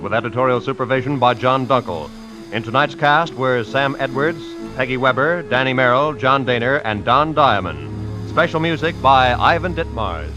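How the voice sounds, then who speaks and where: A man's voice: mid-range voice, rough recording. Vintage radio announcer, man, over music. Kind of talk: 1940s radio announcing, scripted credits.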